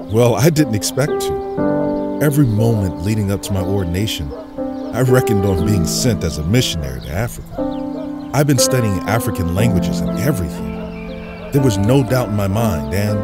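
A devout young American priest, acted by a man, speaks humbly and sincerely in a fairly low voice. His phrasing is halting and reverent, and loud music runs behind the audio drama. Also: expressive, close to an everyday voice. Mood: reflective, moved.

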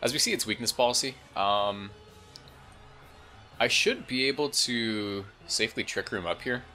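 A man's voice: medium pitch, expressive, moderate pace, pushed and bright, soft background music. A slightly congested man giving casual, informal gameplay commentary, thinking aloud as he goes. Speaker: gaming video host.

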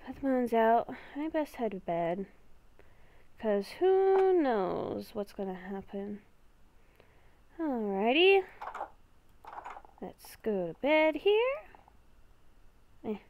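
Woman playing a high-pitched, nervous young werewolf girl. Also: sing-song, narrow, tinny audio, exaggerated voice. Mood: uneasy, puzzled. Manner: halting, stammering, repeats herself. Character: nervous young woman werewolf, hearing ghostly voices at night. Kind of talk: improvised Minecraft roleplay.

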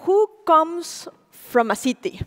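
Woman, Spanish accent, high voice, stage lecture, reverberant hall. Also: takes it slowly. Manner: animated, gesturing, asks the audience questions. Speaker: conservation program director. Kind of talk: public lecture. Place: large auditorium stage. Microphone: stage mic, speaker walking.

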